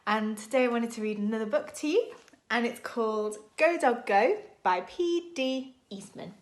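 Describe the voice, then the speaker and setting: A woman reading a picture book aloud to young children in an expressive, deliberate storytime style. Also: fairly high pitch. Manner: expressive, animated, deliberate phrasing for small children.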